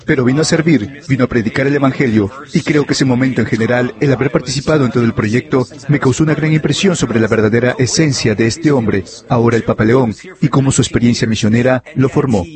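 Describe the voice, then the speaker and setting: A man giving a voice-over interpretation of an interview, relaying it in a steady, fluent way. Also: mid-range voice, fast talker, few pauses, crowd noise.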